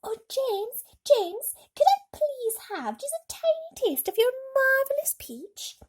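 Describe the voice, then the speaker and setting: A woman voicing an excited little girl who calls out eagerly and pleadingly, as in a bedtime storybook. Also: very high voice, slow pace, pitched above the performer's own voice, full character voice. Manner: shouting eagerly.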